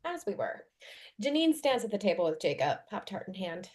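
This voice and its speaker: Woman reading stage directions, mid pitch. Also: big pitch swings, fairly quick, a little mellow. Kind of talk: scripted narration at a table read.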